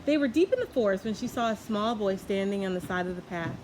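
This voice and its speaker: A woman narrates a children's storybook. Her voice sits at a medium pitch. Noticeable background noise accompanies the reading. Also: animated, fast talker. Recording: clearly noisy background.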